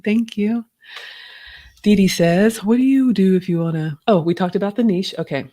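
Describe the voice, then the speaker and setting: A middle-aged woman with a slightly deep, raspy voice, casually hosting a live stream and reading viewer questions aloud. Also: moderate pace, mellow, no echo, studio quality.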